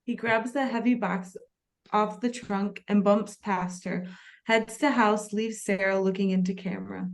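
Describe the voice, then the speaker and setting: A woman narrates the action lines of a screenplay at a table read. Her pitch is medium, and her delivery is plain scripted narration. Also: steady tone, a little slow. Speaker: stage directions reader.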